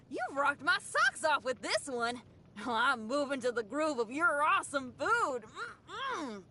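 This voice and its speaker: Brash young female rocker for a game: exuberant, slangy, high and swooping. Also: sharp tone, heavily acted. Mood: thrilled. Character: upbeat, brash young female rock musician. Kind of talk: video game character voice lines.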